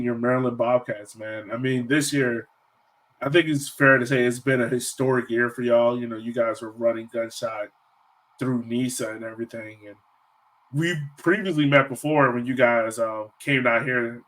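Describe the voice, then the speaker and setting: A man's voice: medium pitch, low-quality recording. Casual American man hosting a podcast. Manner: informal, slangy, says "man" and "yo" often. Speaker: podcast host, interviewer. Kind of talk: casual interview chat.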